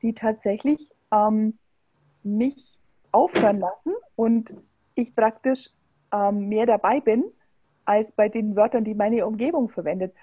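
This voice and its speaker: A woman's voice: medium pitch, unhurried, phone-quality sound, slight background noise. A woman interviewing a guest in a casual talk, appreciative and enthusiastic, with some fillers.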